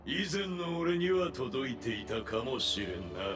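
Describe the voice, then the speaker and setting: A man's voice: medium pitch, expressive, moderate pace, close to an everyday voice. A man voicing a ruthless, scornful male fighter villain in a game cutscene, cold and menacing. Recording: loud orchestral music behind.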